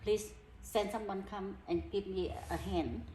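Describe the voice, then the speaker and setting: A middle-aged woman from Vietnam with a Vietnamese accent, a healer talking earnestly in halting, broken English. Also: mid-range voice, warm tone. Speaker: energy healer. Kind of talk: casual interview talk. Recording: echoey room with background noise.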